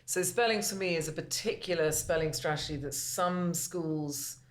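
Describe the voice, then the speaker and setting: A woman co-hosting a podcast, encouraging and inquisitive as she asks about dyslexia. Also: sits low. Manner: encouraging, inquisitive, quick questions. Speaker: co-host and dyslexia teacher. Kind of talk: casual podcast interview.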